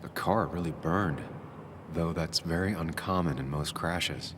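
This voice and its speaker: An actor playing a brooding, methodical investigator in a video game, thinking aloud in a deliberate, wary way. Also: male voice, deep voice, heavy background noise. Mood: pensive, suspicious. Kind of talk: video game acting, introspective monologue.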